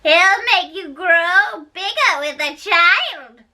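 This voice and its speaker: Woman doing a squeaky, very high bawdy nurse. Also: expressive, slow pace, pushed and bright, heavily acted. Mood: gushing. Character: bawdy doting nurse, older woman servant. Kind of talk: amateur dramatic reading of a stage play.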